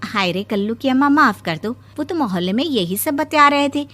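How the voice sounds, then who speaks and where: A woman's voice: high voice, animated, moderate pace, a bit bright, soft background music. A woman voicing a nosy middle-aged neighbor woman in a cartoon story, teasing and gossipy.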